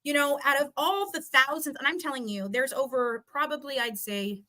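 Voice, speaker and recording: A woman's voice: high voice, moderate pace, sharp tone. A woman giving heated, combative commentary in a casual live chat, repeating phrases for emphasis. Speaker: guest.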